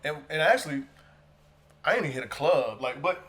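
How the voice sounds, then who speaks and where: A man co-hosting a podcast in relaxed, rambling casual chat. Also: a little high, a little fast. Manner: relaxed, rambling, many fillers. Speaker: podcast co-host.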